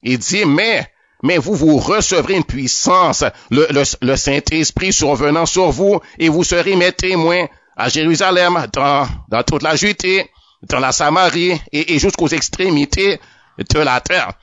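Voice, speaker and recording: A man's voice: on the high side, measured pace, fairly bright tone, no room sound. A man giving a spoken religious testimony, often stammering over and repeating his words as he goes. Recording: dull lo-fi, dry.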